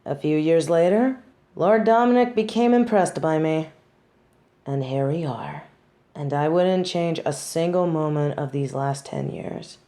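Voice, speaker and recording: A woman playing a snobby, wry tsundere who judges others, in an intimate scripted audio roleplay with deliberate, dramatic delivery. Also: deep voice, mellow, exaggerated voice. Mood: fond, playful.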